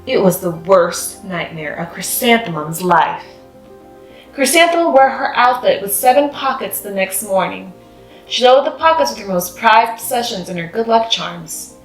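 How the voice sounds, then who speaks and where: A woman's voice: mid-range voice, animated, moderate pace. A woman reads a children's story aloud in scripted storybook narration. Her voice sits in a roomy, echoing space, with faint music behind it. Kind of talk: scripted picture-book narration.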